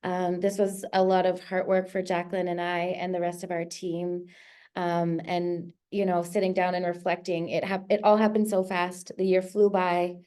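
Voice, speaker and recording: A woman hosting an online launch event, thanking a guest in a relaxed, filler-heavy, chuckling way. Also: fairly low pitch, moderate pace, smooth. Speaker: host.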